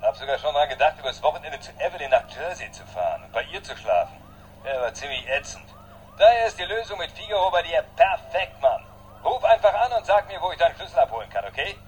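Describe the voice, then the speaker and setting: An actor playing a brash, slangy, jokey buddy leaving answering machine messages in a radio play. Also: male voice, on the high side, sing-song, fast, a little hiss or hum, poor audio. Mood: casual, pleading. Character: brash, slangy New York buddy, cool guy.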